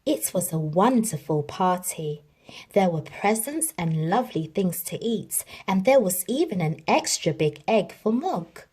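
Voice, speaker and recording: A woman reading a children's story aloud in an expressive, dramatic storytelling manner. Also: lowish voice, unhurried, breathy edge. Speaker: storybook reader.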